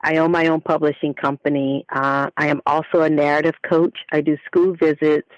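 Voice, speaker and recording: A woman's voice: sits low, flat delivery, normal speed, no room sound, lo-fi sound. Female author guest, conversational, emphatic list-like delivery, very little room sound. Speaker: guest author and narrative coach. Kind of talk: casual interview chat.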